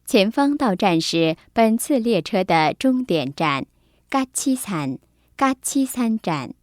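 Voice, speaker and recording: A woman delivers a scripted transit announcement. She speaks very slowly with a lively, swinging intonation, in a dry, close recording. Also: medium pitch, dry recording. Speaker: subway announcer.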